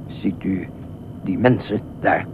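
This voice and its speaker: A man's voice: deep voice, takes it slowly, narrow, tinny audio. Noisy old radio-play fussy, meddlesome man. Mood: urgent, secretive. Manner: formal, insistent, probing questions. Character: fussy, anxious, meddlesome male beach regular pestering a stranger. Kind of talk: Dutch radio play acting. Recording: noisy, thin band-limited old radio recording.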